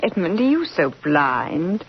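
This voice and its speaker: An actress playing a clinging, lovesick young mistress with theatrical emotion, in 1940s radio style. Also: female voice, on the low side, very animated, slow, husky, exaggerated voice. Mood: reproachful. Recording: dull, hissy vintage radio broadcast.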